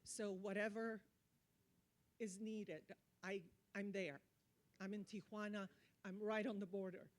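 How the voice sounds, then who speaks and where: Woman speaking deliberately and emphatically. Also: mid-range voice, little pitch movement. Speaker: audience speaker, marketing expert. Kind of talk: earnest impromptu remarks at a conference.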